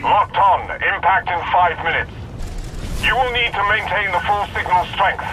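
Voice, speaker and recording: A man playing a dutiful, fervent warship officer in a video game, formal, militant and forceful. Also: high-pitched, normal speed, background noise, lightly acted. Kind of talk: video game cinematic acting.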